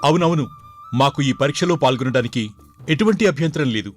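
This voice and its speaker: A man's voice: medium pitch, animated, a little fast, faint music behind, close to an everyday voice. A man voicing a brash, eager young warrior prince with a boastful, impatient manner.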